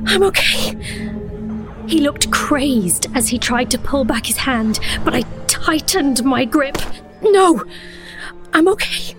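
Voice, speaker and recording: An actress playing an earnest, romantic young sorceress princess, narrating a fantasy audio drama with expressive, breathy delivery. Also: female voice, sits high, takes it slowly, over music. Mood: urgent, pleading.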